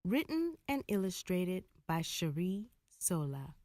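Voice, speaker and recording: A woman narrating a rhyming picture book for children in a deliberate, expressive storytelling manner. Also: lowish voice, no room sound, studio quality. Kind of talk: rhyming children's read-aloud.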